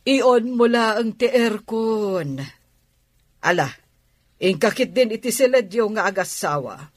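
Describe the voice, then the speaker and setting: A woman's voice: on the high side, expressive, slow, no echo, studio quality. An actress playing a mature, concerned, motherly auntie in an Ilocano radio drama. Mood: concerned, scolding.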